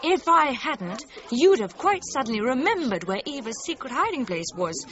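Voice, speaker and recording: Shrewd British woman journalist, fairly high voice, accusing, controlled, crime radio drama, crowd chatter behind. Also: sing-song, normal speed. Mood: cool, challenging. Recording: dull lo-fi sound with pub chatter behind.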